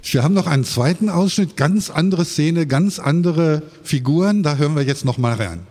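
A man's voice: a little high, animated, moderate pace, darkish tone, slight background noise. A man moderating a live stage interview, articulate and orderly as he comments and puts questions. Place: live stage at a book fair.

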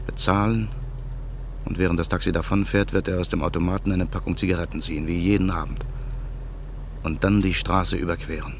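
An actor playing a brooding man bent on revenge, narrating his own thoughts in a radio play with a husky voice. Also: deep voice, slight background noise. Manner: slow, brooding inner monologue. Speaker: narrator and lead character.

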